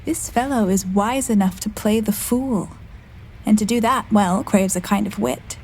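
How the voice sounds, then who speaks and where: A woman acts as a young gentlewoman in disguise as a page in a Shakespearean radio play. The voice sits at a middle pitch, polite and well articulated, with witty formality. Some background sound runs behind it. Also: a little slow, a little hiss or hum, natural voice, poor audio. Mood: reflective. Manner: articulate, courteous, formal verse delivery. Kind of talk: Shakespearean audio play acting.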